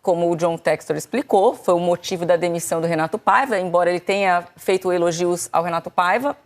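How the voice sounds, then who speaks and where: A woman's voice: on the low side, fast talker. Woman presenting football talk. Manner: explains at length, summarizing and paraphrasing. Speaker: sports TV presenter. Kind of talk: studio football discussion.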